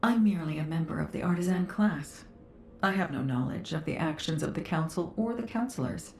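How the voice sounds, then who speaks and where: A woman playing a composed, earnest researcher in a video game, with a measured and formal delivery. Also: low voice, expressive, darkish tone, echoey room, a little hiss or hum. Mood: matter-of-fact. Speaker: voice actor. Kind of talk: dramatic game dialogue.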